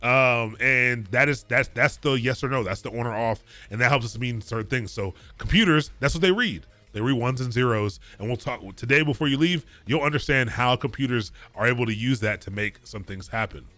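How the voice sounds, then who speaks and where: Man, Baltimore accent, bootcamp coding instructor; bright, forceful delivery, dry close sound, fast and casual with lots of restarts. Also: medium pitch, no room sound. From Baltimore area, Maryland. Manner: casual, talks quickly, repeats and restarts phrases; chats with viewers between points. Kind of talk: live-streamed lecture.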